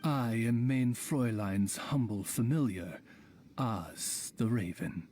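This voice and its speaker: A man's voice: mid-range voice, slow pace, darkish tone, soft background music, natural voice. A man voicing a dignified raven familiar in a video game, formal, courteous and wordy like a dry-witted butler. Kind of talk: video game character acting.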